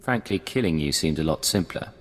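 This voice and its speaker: A man's voice: extremely low pitch, expressive, fairly quick. An actor voicing a cold male covert agent who talks in terse lines. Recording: game audio with reverb and faint ambience.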